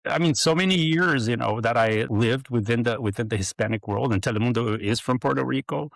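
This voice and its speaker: A middle-aged man from Brazil, telling his career story as a podcast guest, halting with many fillers and restarts. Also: mid-range voice, creaky, no echo. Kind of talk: interview storytelling.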